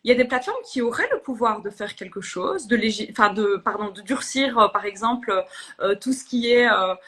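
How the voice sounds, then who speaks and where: This is a young woman, speaking as a guest in a live interview. Her voice sits slightly high. She talks earnestly, with frequent fillers and restarts as she thinks aloud. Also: expressive, a little fast, bright, forceful tone. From Belgium. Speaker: politician guest. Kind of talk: live interview on social media.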